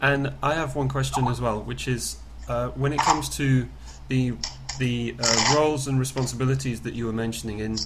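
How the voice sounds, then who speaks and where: A man taking part in a conference call, putting questions in a tentative, halting way with repeated words. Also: mid-range voice, fairly even intonation. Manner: hesitant, repetitive, tentative. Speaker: programme participant relaying questions. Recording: conference call with faint noise.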